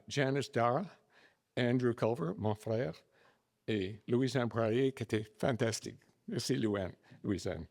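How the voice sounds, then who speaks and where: A man's voice: mid-range voice, a little mellow. A man gives a donor's welcome address at a reception and moves between English and French. His delivery is loose, with informal asides. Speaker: donor giving a welcome speech. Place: reception room at a faculty club.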